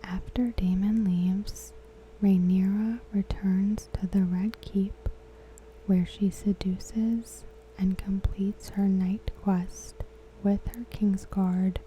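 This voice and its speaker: Slow, dark whispering woman. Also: medium pitch, flat delivery, a little hiss or hum, rough recording. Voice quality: soft, breathy whisper. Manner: measured, deliberate, hushed delivery. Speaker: ASMR host. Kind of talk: whispered ASMR scripted recap narration.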